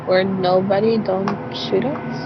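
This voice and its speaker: A woman reacts casually as a second player in a game. She speaks slowly in short replies. The sound is dull, with clear rumbling noise behind her. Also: flat delivery. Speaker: co-player giving choices. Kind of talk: casual chat.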